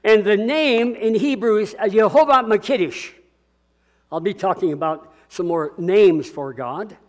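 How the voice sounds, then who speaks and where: Booming older pastor preaching a sermon. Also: male voice. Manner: booming, emphatic, slows on key words. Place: church.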